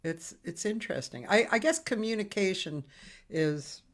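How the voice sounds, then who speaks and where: A woman's voice: medium pitch, lively intonation, moderate pace. A woman hosting a live online show, talking casually and rambling, with many restarts. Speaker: host. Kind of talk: casual chat.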